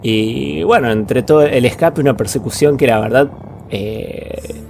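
A man's voice: medium pitch, unhurried, gravelly, darkish tone, slight background noise. A man with an Argentine accent co-hosting a podcast, chatting casually about films in slangy language with plenty of swearing. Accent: Argentine Spanish. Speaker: podcast co-host. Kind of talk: casual film chat.

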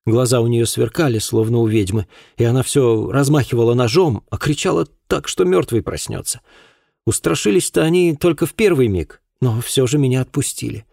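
A man voicing a distressed young housemaid in an audiobook, speaking in a halting, plaintive, anxious way. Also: mid-range voice, creaky, darkish tone, no room sound, clean studio sound, voice pushed up. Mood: agitated, aggrieved. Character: distressed young housemaid woman recounting an assault. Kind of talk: acted audiobook dialogue.

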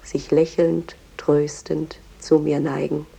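A woman playing a cultured, devoted museum guide in a radio play, explaining things in a measured, reverent manner. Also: deep voice, little pitch movement, vocal fry, mellow, breathy edge, heavily acted, poor audio. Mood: lyrical. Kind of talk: explanatory guided tour with verse recitation.

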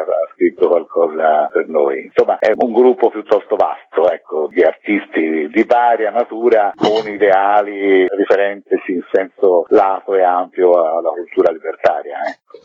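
A man's voice: deep voice, breathy edge, dry recording. A middle-aged man giving discursive, explanatory answers as an interview guest. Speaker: interview guest, magazine curator. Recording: tinny phone line.

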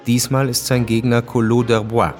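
An actor playing the sober narrator of a historical radio drama, reading scripted present-tense narration. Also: male voice, mid-range voice, flat delivery, normal speed, natural voice. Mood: factual. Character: sober male chronicler of historical events. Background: music.

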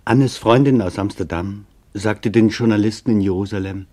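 A man playing a German reporter, narrating a radio documentary drama in a measured, grave and deliberate way. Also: lowish voice, warm tone, lightly acted. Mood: sober. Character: male German reporter recounting his search for witnesses, sober.

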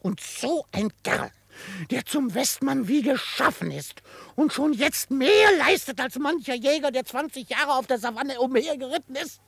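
A man playing a quirky old frontier trapper in a radio drama, excitable and grumbling, gruff but fond, with a crackly voice. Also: very high voice, normal speed, no room sound, exaggerated voice. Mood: exasperated fondness. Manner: excitable, grumbling, chuckling, theatrically indignant. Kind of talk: German radio drama acting.

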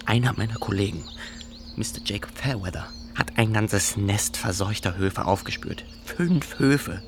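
An actor playing an earnest, doubting official inspector in a radio play, speaking in a formal, wordy, insistent way. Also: male voice, lowish voice, animated, measured pace, background noise. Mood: uneasy, insistent. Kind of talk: German radio play acting.

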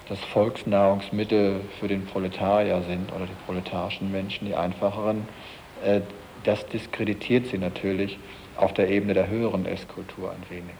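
A man with a very low voice reflects analytically in hesitant, wordy phrases. His delivery stays flat, over faint background noise. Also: rough recording. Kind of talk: reflective analytical interview talk.

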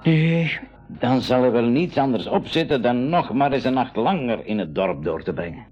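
An actor playing an avuncular expedition leader in a radio play, taking charge with an exclamatory, courteous manner. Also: male voice, mid-range voice, expressive, normal speed, breathy edge, dull audio. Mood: surprised, resolute. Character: hearty uncle leading a jungle expedition, decisive, courteous. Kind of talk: 1980s school radio play acting.

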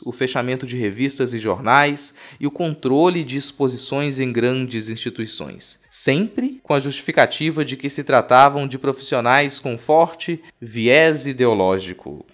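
A man with a Brazilian accent hosting a podcast, reading a scripted art history narration with explanatory asides. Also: mid-range voice. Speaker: podcast host.

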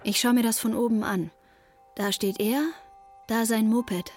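A woman's voice: medium pitch, darkish tone, natural voice. A woman playing a restless, defiant teenage girl in love, confiding and emotionally charged in a German radio drama. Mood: intense, reflective. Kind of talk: German radio drama, intimate first-person narration and dialogue. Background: music under the voice.

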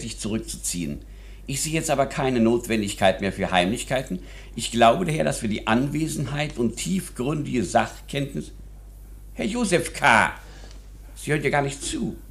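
An actor playing an ailing older lawyer in a German radio play, formal, long-winded and imperious. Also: male voice, mid-range voice, lively intonation, normal speed, lightly acted. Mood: self-important, formal.

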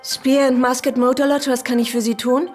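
An actress playing a breezy, nosy motel receptionist in a radio drama. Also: female voice, high-pitched, little pitch movement, normal speed, over music, natural voice. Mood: breezy, curious. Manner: breezy, nosy service chatter. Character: chatty, curious female motel receptionist.

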